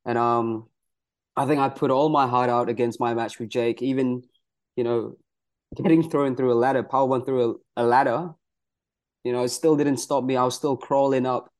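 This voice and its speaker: A man's voice: mid-range voice, fairly even intonation, normal speed. A young man from Malaysia, a pro wrestler, answering interview questions in an earnest, proud way, with many "you know" fillers.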